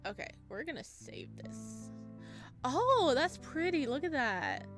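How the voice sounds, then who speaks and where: A woman doing let's play commentary, reading story text expressively and gushing excitedly in her asides, with game music behind her. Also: high-pitched, slow pace. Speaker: host of a game playthrough. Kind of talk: let's play commentary with read-aloud narration. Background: plucked guitar game music.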